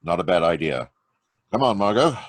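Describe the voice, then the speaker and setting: A man playing a calm, commanding criminologist hero in an old-time radio drama read over a video call. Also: deep voice, normal speed, dry recording. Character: cool confident male criminologist hero.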